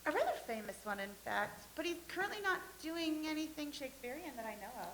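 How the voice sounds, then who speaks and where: A woman's voice: high-pitched, expressive, fast, a bit bright. Woman playing a lilting Civil War-era young lady. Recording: echoey room with faint hiss.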